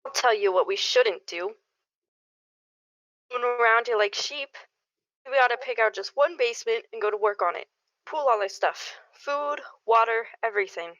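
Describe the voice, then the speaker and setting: Radio play: reproachful, level-headed neighbor for a female actor, slightly high and dry, muffled lo-fi sound. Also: moderate pace, a bit bright, no room sound, close to an everyday voice. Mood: exasperated. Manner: reproachful, insistent. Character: level-headed, exasperated neighbor urging restraint. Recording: dull lo-fi audio.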